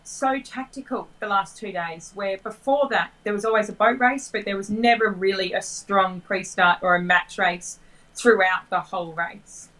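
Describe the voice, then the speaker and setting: A woman guest in a casual chat about sailing, friendly and relaxed. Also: medium pitch, normal speed, low-quality recording. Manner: friendly, relaxed, thinks aloud.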